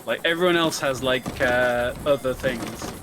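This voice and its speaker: A man's voice: medium pitch, unhurried, background noise. A man giving casual, hesitant live commentary on a speedrun. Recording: loud game music behind. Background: video game music and sound.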